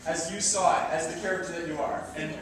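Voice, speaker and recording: A man's voice: on the high side, fairly even intonation, fast, distant mic, crowd noise. A man hosting an improv comedy show, giving informal instructions on stage in an echoey, noisy room.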